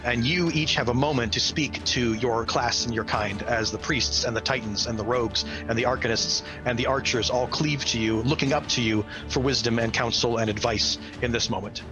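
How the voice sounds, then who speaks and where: A man's voice: mid-range voice, steady tone, normal speed, hardly any pauses, poor audio. Male game master, dramatic tabletop narration, earnest and vividly descriptive, prominent music underneath.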